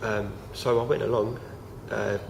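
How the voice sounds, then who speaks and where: A young man, croaky from feeling unwell, talking casually to camera with many fillers and restarts. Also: on the low side, fairly even intonation, measured pace. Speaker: vlogger. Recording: noisy home recording.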